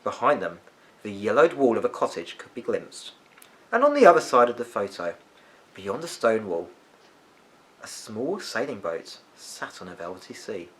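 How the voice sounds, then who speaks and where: A man reading a children's story aloud as an expressive narrator. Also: on the low side, moderate pace, low-quality recording.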